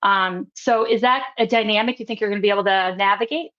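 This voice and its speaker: A woman hosting a local politics podcast, interviewing a candidate in a measured way, hedging with fillers. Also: mid-range voice, muffled recording. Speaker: podcast host.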